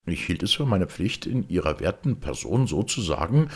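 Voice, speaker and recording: A man's voice: deep voice, very animated, normal speed, breathy edge, full character voice. A man voicing an obsequious, self-important town mayor in an audiobook, with a formal, fussy, eager manner. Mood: obsequious, pompous. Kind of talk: audiobook character acting.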